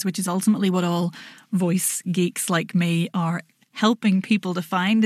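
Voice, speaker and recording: A woman's voice: mid-range voice, darkish tone, no echo. A voice coach talks a guest through posture and breathing, practical and easygoing with a touch of self-mockery. Her voice sits mid-range and is captured dry and close. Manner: practical, instructive, self-deprecating. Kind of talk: casual podcast conversation. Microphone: podcast microphone, seated.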